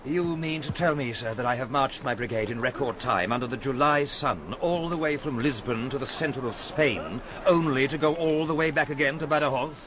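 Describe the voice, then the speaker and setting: A man's voice: medium pitch, normal speed, few pauses, background noise, close to an everyday voice. A man playing a forthright brigade general in a radio drama, blunt yet formally deferential. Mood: incredulous, respectful. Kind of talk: historical radio drama acting.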